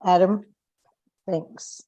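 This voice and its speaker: A woman serving as vice chair, formally opening and running a public commission meeting in a measured, slightly halting way. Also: lowish voice, steady tone, warm tone, low-quality recording.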